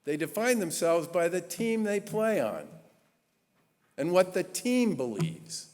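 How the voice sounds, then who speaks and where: A man in his sixties, a state governor, giving an earnest, emphatic introduction speech with measured pauses at a gala. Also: high-pitched, sing-song, a little mellow. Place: large historic church. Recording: long reverb of a large church hall.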